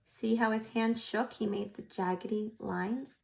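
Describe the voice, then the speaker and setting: A woman preschool teacher reading a picture book aloud to young children, expressive and pausing to ask them questions. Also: on the high side, vocal fry, slight background noise.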